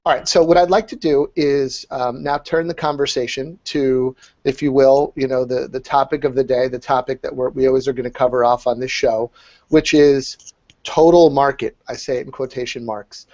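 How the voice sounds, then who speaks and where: A man's voice: mid-range voice, steady tone, normal speed, a little mellow. A man hosting an interview show, giving upbeat, scripted introductions and questions. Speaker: show host. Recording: dull lo-fi recording.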